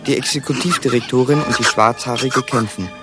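An actor as a male announcer in a radio drama. He reports plainly and factually at a middle pitch. Loud music plays along with his voice. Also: brisk, close to an everyday voice. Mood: matter-of-fact. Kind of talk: radio play acting.

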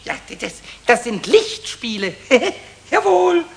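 A tiny, impish male kobold for a children's radio play, very high and swooping wildly in pitch. He is childlike and boastful, stammering with tipsy excitement and slipping into sing-song rhymes. The old recording carries some hiss. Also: takes it slowly, gravelly, exaggerated voice. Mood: tipsy, playful.

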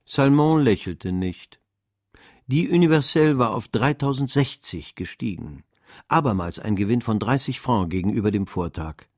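A man narrating a literary radio drama in a measured, dramatic storytelling manner. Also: fairly low pitch, lively intonation, a little mellow, no room sound, clean studio sound. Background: music.

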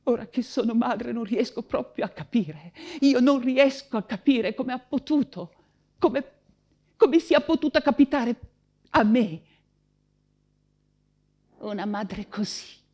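An actress playing an anguished, proud woman writer in wartime Paris, in a theatrical monologue with halting, insistent repetitions. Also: a little high, expressive, darkish tone.

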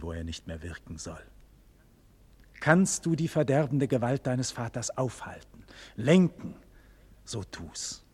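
An actor playing a dignified condemned nobleman in a 1940s German radio play, declaiming solemnly with breathy, husky murmurs and loud cries. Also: deep voice, heavily acted. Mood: resigned. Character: doomed nobleman facing execution, dignified, passionate, grave. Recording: vintage 1940s radio studio recording.

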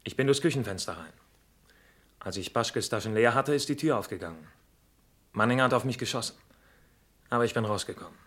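Cornered male killer, sullen confession, medium pitch, terse, resentful, 1960s radio crime acting. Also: animated, fairly quick, pauses often, fairly bright tone, slightly rough. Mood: sullen, resigned.

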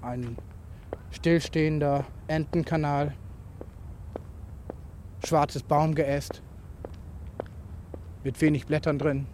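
A man's voice: on the high side, fairly even intonation, extremely slow, long pauses, darkish tone. A man speaking an improvised, introspective monologue into a tape recorder in fragmented observations. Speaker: writer. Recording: noisy home tape recording.

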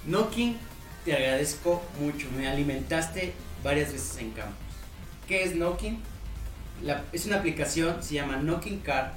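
A man's voice: a little high, lively intonation, measured pace, reverberant, faint music behind, poor audio. A man with a Mexican Spanish accent, chatting casually on a podcast and explaining things step by step. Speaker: podcast host. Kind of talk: casual chat.

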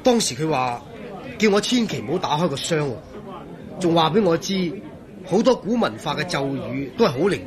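Radio drama support: earnest engineer, Hong Kong Cantonese, slightly high voice, very lively and emphatic. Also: male voice, fairly quick, crowd noise. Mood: cooperative. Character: earnest, regretful male engineer in charge of waterworks.

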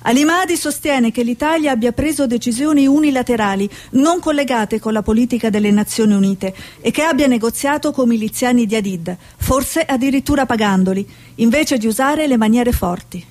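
A woman's voice: fairly high pitch, brisk, few pauses, a little mellow, lightly acted. An actress playing a determined, wry woman TV war reporter in a radio drama, probing and self-assured. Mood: formal reporting.

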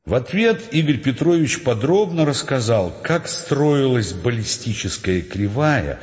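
A man's voice: medium pitch, big pitch swings, unhurried, a little mellow. A man narrating a dramatised literary story as part of an audio play. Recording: dull sound, long reverb, music underneath. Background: music.

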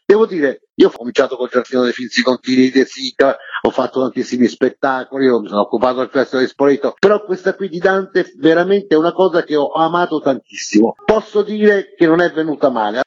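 Male guest artist, enthusiastic and emphatic, rough husky tone, explaining his work in an interview, slightly dull sound. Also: on the high side, lively intonation, fairly quick, no room sound. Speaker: guest artist and exhibition curator.

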